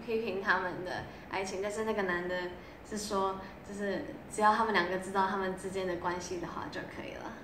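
A young woman being interviewed as a vocal student, answering softly in a casual way with brief fillers. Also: mid-range voice, steady tone, quick pace, slight background noise. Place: living room, on a sofa. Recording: echoey room with music behind.

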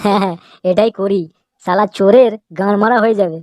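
A man voicing a grumpy, scolding old village man in a comedy cartoon, with a pitch-raised voice. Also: fairly even intonation, fast talker, background noise. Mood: grumbling scheming. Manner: scolding, indignant. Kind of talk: amateur comedy cartoon dubbing.

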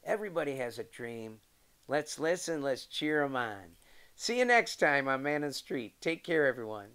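A man's voice: medium pitch, sing-song, moderate pace, fairly bright tone, clean tone. A middle-aged man with an American accent hosting an interview show, presenting emphatically like a showman. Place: wooden sunroom indoors.

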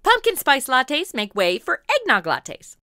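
American female podcast host, perky and slangy, very lively intonation, dry close sound. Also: high-pitched, measured pace, dry recording. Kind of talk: scripted, playful podcast interview.

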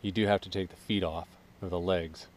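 A man in his thirties giving a relaxed, casual walkthrough of equipment outdoors in a wooded yard, filming himself. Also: deep voice, a little fast, a little hiss or hum. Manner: relaxed, explanatory, joking. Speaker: vlogger.

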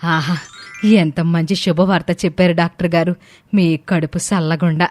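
A woman's voice: fairly low pitch, steady tone, normal speed, a little mellow, faint music behind, lightly acted. A woman playing a caring, grateful older mother-in-law in a family story, motherly and respectful.

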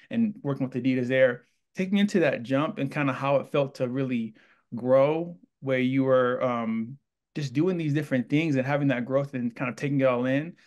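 A Texan man hosting an interview, talking in an enthusiastic, effusive and rambling way into a studio mic on a boom arm in a small indoor room. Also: a little mellow. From Texas. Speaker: host.

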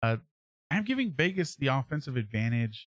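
A man in his thirties hosting a sports podcast, giving casual analysis in a halting way as he thinks aloud. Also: medium pitch, big pitch swings, no echo. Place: small indoor room at home, on a livestream webcam.

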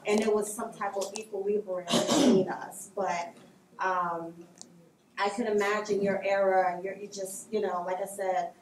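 A woman's voice: mid-range voice, fairly even intonation, unhurried, a little hiss or hum. A woman from Polk County, Florida, speaking thoughtfully on a panel in a large meeting room. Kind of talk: panel discussion. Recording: echoey room from far off.

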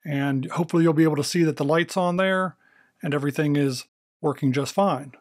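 An American man narrates a casual demo as a video host. His voice sits a little higher than average for a man. The sound is close and dry, with very little room in it. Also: brisk, no echo.

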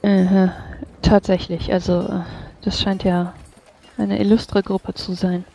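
A woman's voice: medium pitch, flat delivery, a little slow, vocal fry, dark tone, slight background noise. A woman running a tabletop roleplay as game master, speaking politely, formally and with some hesitation. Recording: dull lo-fi microphone.

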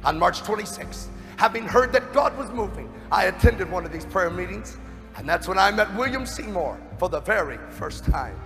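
A man's voice: high voice, expressive, moderate pace. A man acting a dignified, fervent revival witness of the early 1900s, narrating a stage play with solemn, dramatic emphasis. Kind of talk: theatrical monologue in a stage play. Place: stage of a large dark theatre. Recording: echoey hall, music behind.

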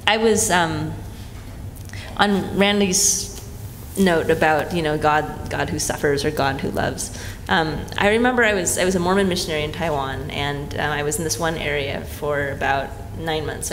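A man's voice: on the high side, a bit bright. A man on a conference panel, speaking in a thoughtful, meandering way, with many fillers. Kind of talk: panel discussion. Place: hotel conference room. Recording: long hall reverb, faint noise.

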